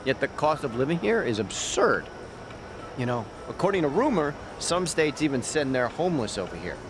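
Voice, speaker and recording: A man's voice: fairly high pitch, big pitch swings, moderate pace, background noise. An actor playing a cynical, wry, streetwise local man in an English-dubbed video game, chatty and given to sardonic explanations.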